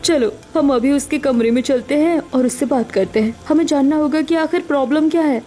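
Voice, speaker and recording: A woman's voice: high voice, a little fast, dark tone, natural voice. A woman voicing an outspoken, concerned young daughter-in-law in a cartoon family drama. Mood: suspicious, determined.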